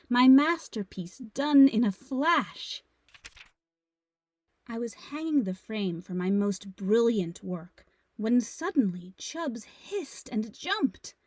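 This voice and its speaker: A woman narrates a children's storybook with expressive drama. Her delivery is unhurried, with a medium-pitched voice and music running behind it. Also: no room sound.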